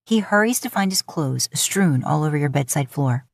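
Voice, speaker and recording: A woman's voice: deep voice, expressive, normal speed, no echo. A woman narrating scripted romantic fiction as an audiobook-style storyteller, recorded close to the mic.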